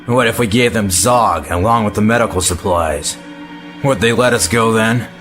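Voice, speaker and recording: Man as a low, gruff starship crewman. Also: normal speed, over music, close to an everyday voice. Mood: urgent. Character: gruff, blunt male armed starship crewman. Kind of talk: sci-fi audio drama acting.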